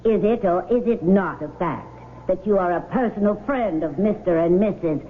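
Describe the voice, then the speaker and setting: An actress playing a cool, formal, insistent defense attorney in a radio drama, speaking precisely and challengingly. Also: female voice, on the low side, normal speed, natural voice. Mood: coolly challenging. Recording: tinny, noisy 1970s radio broadcast.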